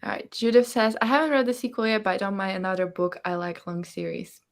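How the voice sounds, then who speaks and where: A woman's voice: mid-range voice, fast talker. Woman hosting a casual book chat. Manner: chatty, hedging, often voices imagined reactions. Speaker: host of a book discussion livestream. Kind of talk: casual chat, reading out viewer comments. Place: online livestream call.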